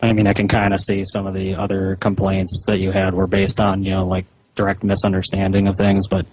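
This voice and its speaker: A man speaking as a caller on a phone, in a measured, hesitant but insistent way, with slightly muffled sound. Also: sits low, completely flat delivery. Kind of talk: slow, halting phone conversation. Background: phone call recording.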